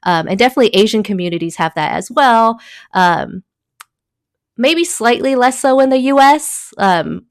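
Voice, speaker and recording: A woman from Taiwan, a guest in a casual conversation, talking thoughtfully with many pauses, fillers and small laughs. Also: on the high side, animated, no echo, studio quality.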